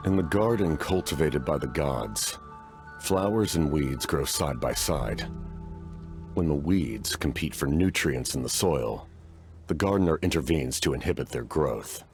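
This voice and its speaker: An actor playing a stoic, war-weary knight-commander in a fantasy game, speaking formally and gravely. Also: male voice, extremely low pitch, animated, unhurried, over music, exaggerated voice. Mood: philosophical.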